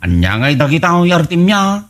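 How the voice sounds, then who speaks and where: A man's voice: on the high side, very animated, normal speed, fairly bright tone. A man with an Ilocano Filipino accent playing a grumbling, exclamatory old husband in a radio comedy drama. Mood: complaining.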